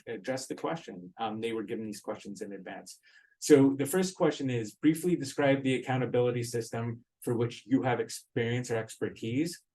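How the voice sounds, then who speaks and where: A man's voice: medium pitch, a little mellow, rough recording. A man moderating a panel, reading out the questions and then inviting the panelists to answer, with casual fillers.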